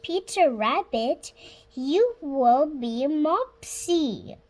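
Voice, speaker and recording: High-voiced little girl reading very slowly. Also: animated. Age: child, about 6 years old. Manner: slow, word by word, stumbling and self-correcting. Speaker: young reader practising reading aloud. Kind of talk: halting read-aloud of a children's storybook.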